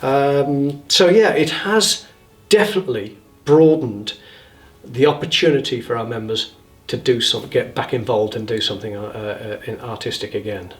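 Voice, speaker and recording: Male interviewee, medium pitch, unscripted and conversational. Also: moderate pace. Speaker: theatre group member interviewed. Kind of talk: informal interview talk.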